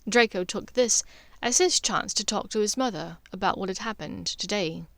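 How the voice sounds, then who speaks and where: Casting: female audiobook-style narrator, mid-pitched, scripted fiction reading, dry recording. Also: normal speed, a bit bright, no room sound. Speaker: fan fiction author and narrator.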